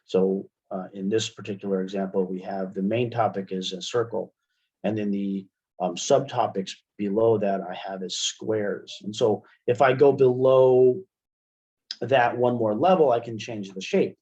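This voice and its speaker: A middle-aged American man presenting an online software lesson step by step, in an informal, halting way, often checking in with "right?". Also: lowish voice, fairly even intonation. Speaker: webinar presenter and instructor. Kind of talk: software tutorial, explaining step by step. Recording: muffled, dull computer-call audio.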